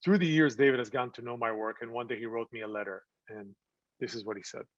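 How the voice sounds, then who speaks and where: A man giving an earnest talk to an audience about his film, often pausing to find words. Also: medium pitch, no room sound. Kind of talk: presentation to an audience. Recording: slightly dull, dry sound.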